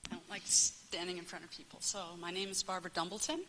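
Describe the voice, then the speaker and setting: American woman, bit low but bright, introducing herself kinda self-consciously. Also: steady tone, quick pace, a little hiss or hum, low-quality recording. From small-town Connecticut, United States. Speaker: college science department staff member. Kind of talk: informal unscripted self-introduction to a group.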